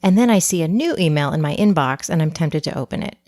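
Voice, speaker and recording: A woman's voice: sits low, expressive, fast, darkish tone, dry recording, studio quality. A woman hosting a podcast, affirming her guest and chatting through a short solo segment. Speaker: podcast host.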